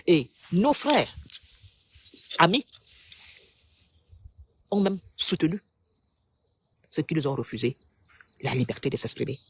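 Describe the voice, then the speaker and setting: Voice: medium pitch, slight background noise. A speaker from Cameroon delivers a preachy, rhetorical political monologue to the public. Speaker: political commentator.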